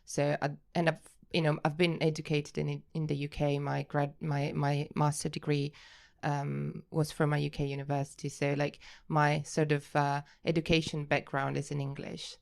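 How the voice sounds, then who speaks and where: Creaky, low-pitched Italian-accented young woman. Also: level tone, moderate pace, darkish tone. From Italy. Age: late 20s. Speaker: researcher, interview guest. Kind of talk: casual conversational interview.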